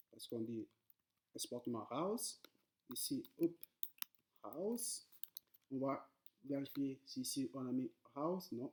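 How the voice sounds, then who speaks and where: A man explaining code to his viewers in French, which is not his first language. He hesitates often, repeats words and fills pauses. His pitch sits in a middling range, and his way of talking stays informal and direct. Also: darkish tone. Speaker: coding tutor. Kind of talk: casual screen-recorded coding tutorial.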